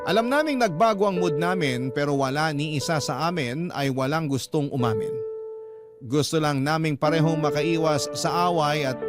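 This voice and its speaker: Male radio narrator, calm and measured, reading a confession, slightly high voice, heavy music bed. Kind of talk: radio drama narration of a listener's letter. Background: loud music bed.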